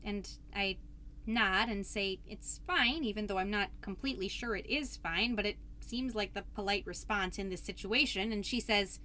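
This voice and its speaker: A woman's voice: medium pitch, moderate pace, sharp tone, slight background noise, lightly acted. A woman playing an earnest, anxious suburban mom podcaster in a rambling, self-doubting comedic monologue that tends toward grand declarations. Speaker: podcast host character.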